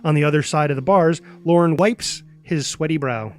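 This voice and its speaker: A man's voice: a little high, normal speed, darkish tone, soft background music. A man narrating a screenplay, reading out scene headings and action as a scripted narrator. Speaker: narrator reading stage directions.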